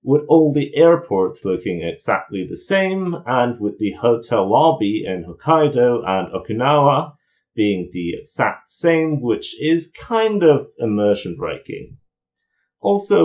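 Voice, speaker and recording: A man's voice: mid-range voice, a little mellow, dull audio. A man giving an opinionated, explanatory video review in an informal commentary style.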